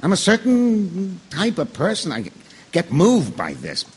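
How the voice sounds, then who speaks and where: A man playing an excitable, indignant, self-righteous juror in a stage drama, stammering and emphatic as he defends himself. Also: high voice, unhurried, slightly rough, long reverb tail, exaggerated voice. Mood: defensive, worked up.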